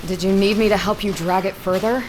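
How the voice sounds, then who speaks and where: An actress playing a composed captive ship captain in an audio drama, guarded and quietly defiant. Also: female voice, mid-range voice, fairly even intonation, brisk, background noise, close to an everyday voice.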